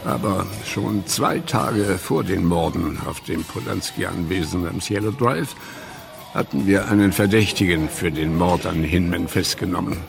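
An actor playing a stern male homicide investigator in a radio drama, with a gravelly voice. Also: sits low, measured pace, music in the background. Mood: grim, stern.

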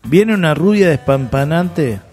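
A man's voice: fairly high pitch, expressive, unhurried, darkish tone, soft background music. A man on a comedic radio show, joking and breaking in with questions. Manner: joking, interrupting, asks mock questions.

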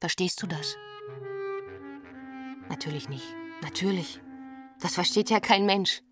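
A woman's voice: fairly low pitch, unhurried, over music, natural voice. An actress playing a wistful, intense woman confiding about a lost love in a radio drama, in short theatrical phrases that linger on words. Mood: resigned.

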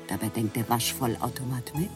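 A man's voice: medium pitch, brisk, natural voice. A male actor playing a product announcer who recites household appliance features as scripted advertising narration. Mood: instructive sales pitch. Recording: voice under loud music.

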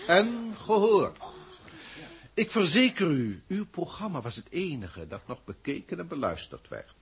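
An actor playing a lofty alien starship commander in a 1960s radio play, speaking formally and deliberately. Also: male voice, on the high side, big pitch swings. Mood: lofty, explanatory. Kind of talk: 1960s Dutch science fiction radio play acting.

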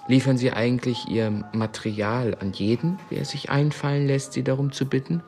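An actor playing an uneasy anarchist comrade in a radio drama, putting pointed, inquisitive questions. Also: male voice, mid-range voice, fairly even intonation, moderate pace, darkish tone, soft background music, natural voice. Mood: probing.

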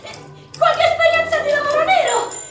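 A young actress plays a jobless, overqualified young woman in a radio drama monologue, moving from brisk reciting to hushed, ironic storytelling. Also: very high-pitched. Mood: wry. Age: young adult. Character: young jobless overqualified female graduate, wry dreamer. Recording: roomy echo, distant mic, music underneath.

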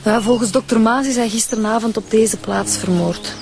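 An actress playing an efficient, matter-of-fact police inspector in a radio drama, with a Flemish Belgian accent. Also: female voice, fairly high pitch, normal speed, vocal fry. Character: efficient, level-headed female police inspector. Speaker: actor in a radio serial. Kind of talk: naturalistic radio drama acting.

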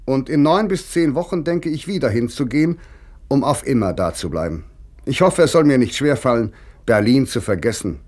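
A man's voice: medium pitch, expressive, normal speed, a little mellow, lightly acted. An actor playing a spirited eighteenth-century man of letters, conversational and articulate, reading his letters in mid-century German radio style. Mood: lively, resolute.

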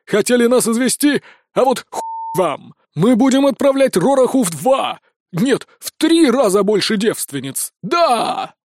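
A man's voice: high voice, animated, moderate pace, dark tone. A man voicing a suspicious, spiteful village elder who accuses and rouses a mob in a fantasy audiobook. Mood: furious. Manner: accusing, rabble-rousing.

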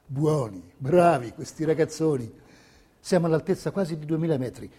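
A solemn stage narrator of wartime history. His voice is fairly high for a man yet soft-edged and mellow, with a grave theatrical delivery. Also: slightly rough.

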